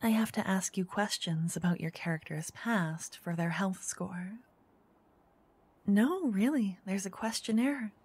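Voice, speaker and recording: Slow, breathy, teasing whisper from a woman. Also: medium pitch, no room sound. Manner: teasing, flirty, switching between whispers and soft speech. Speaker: ASMR roleplay performer as a dungeon master. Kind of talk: soft-spoken ASMR roleplay, whispering into each ear. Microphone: close binaural mic.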